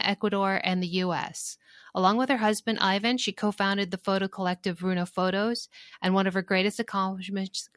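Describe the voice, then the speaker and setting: Podcast host: woman, mid-pitched, conversational and upbeat, dry close recording. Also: fairly bright tone, no echo. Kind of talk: reading an introduction, then casual chat.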